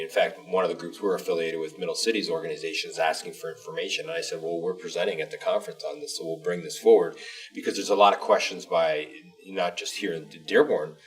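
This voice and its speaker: A man with a very low voice speaks in an informal panel discussion. He explains his points emphatically and ramblingly, like an official addressing the public, and sounds a little away from the microphone. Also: a bit bright, far from the mic, low-quality recording. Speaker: school superintendent co-hosting a district show.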